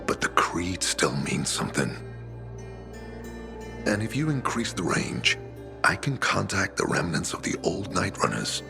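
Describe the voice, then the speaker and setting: Very deep, gravelly older man. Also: very animated, measured pace, long pauses, heavily acted. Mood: earnest, persuasive. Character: weathered older man, persuasive rebel radio broadcaster. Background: music.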